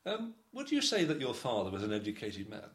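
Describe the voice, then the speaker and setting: A man interviewing an older guest for an oral history recording, asking questions in a relaxed, conversational way. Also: mid-range voice, brisk.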